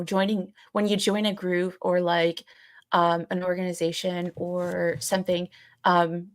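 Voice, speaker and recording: A woman co-hosting a podcast in casual discussion, thinking aloud in a hesitant, halting way. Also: on the low side, no echo, clean studio sound. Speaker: podcast co-host.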